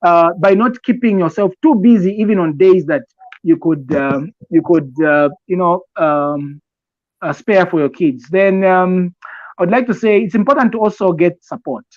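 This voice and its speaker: A man with a Zambian accent and a slightly high voice talks in a conversational, advisory way. He explains deliberately and haltingly, repeating phrases as he goes. The recording is dry and a little dull. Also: no echo. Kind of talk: conversational advice talk with personal examples.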